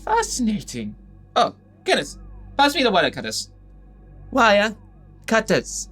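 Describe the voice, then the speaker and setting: A brisk doctor-engineer in an audio drama, with animated, swooping pitch on the high side for a mutterer. He is matter-of-fact and curious. Soft music runs underneath. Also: husky, full character voice. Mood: fascinated, brisk.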